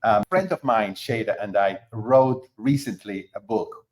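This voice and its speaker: A middle-aged man from Argentina, a concert pianist being interviewed, who talks in a halting way with many fillers and restarts. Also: lowish voice, a little mellow. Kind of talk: conversational interview.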